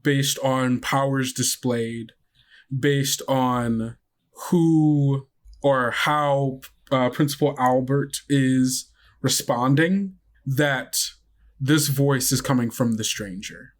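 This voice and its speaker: A man narrating a tabletop roleplaying game as game master, improvising descriptions in a halting, conversational way. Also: mid-range voice, steady tone.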